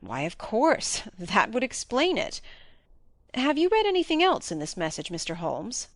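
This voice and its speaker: A blunt, eager baronet, put on by a woman narrator questions and follows along emphatically. The pitch swings in a lively way, and the audiobook recording is dry and close. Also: normal speed, no room sound, full character voice. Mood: inquisitive. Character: blunt, inquisitive male baronet, eager to understand. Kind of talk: audiobook character reading.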